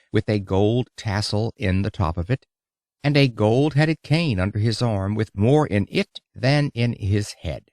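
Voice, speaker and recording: A man's voice: fairly low pitch, darkish tone, no echo, studio quality. A man narrating an audiobook of an old-fashioned lecture in an emphatic, theatrical storytelling manner.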